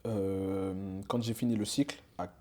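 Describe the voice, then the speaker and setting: A man's voice: fairly low pitch, fairly even intonation, a little slow, dark tone. A young man, a rapper talking casually in an interview, loose and slangy with lots of fillers and restarts. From Switzerland. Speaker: rapper guest.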